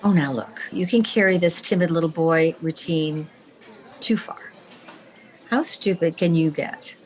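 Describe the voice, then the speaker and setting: A woman acting a sharp, teasing, self-assured newspaper publisher in an old-time radio comedy, with theatrical delivery. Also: deep voice, unhurried, poor audio. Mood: teasing, exasperated.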